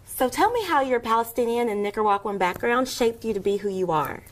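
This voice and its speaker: A woman's voice: on the high side, expressive, brisk. A woman hosting a talk show, asking questions in an upbeat, encouraging way. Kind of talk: hosted interview.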